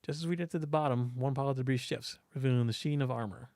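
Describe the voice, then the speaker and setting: A man's voice: mid-range voice, quick pace, darkish tone, no room sound, clean studio sound. A man giving a dramatic read-aloud narration of a visual novel, now and then stumbling over his words. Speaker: game let's-play host and voice actor.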